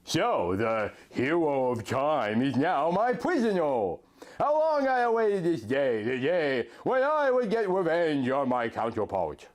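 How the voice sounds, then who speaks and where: A man's voice: fairly high pitch, wildly swooping pitch, a little slow. Man putting on a sneering evil shadow-hero villain. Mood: gloating. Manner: taunting, gloating, sneering. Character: sneering evil male dark double of a hero.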